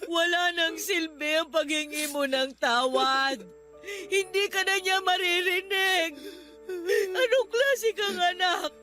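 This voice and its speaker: A woman's voice: very high-pitched, slow, music in the background. A woman acting as a grieving nanny in a radio drama, scolding bitterly and tearfully.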